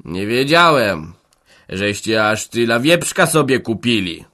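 A man's voice: fairly low pitch, unhurried, natural voice. A man voicing an angry village blacksmith who sneers and barely holds back, in a storybook reading. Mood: sneering. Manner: sneering, wrathful.

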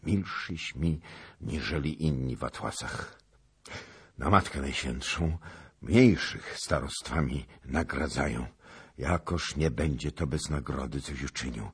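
A man acting an impassioned, deep-voiced storybook king. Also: expressive, takes it slowly, breathy edge, heavily acted. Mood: moved, grateful. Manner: forceful exclamations and urgent questions. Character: impassioned king, fervent, commanding, quick to roar.

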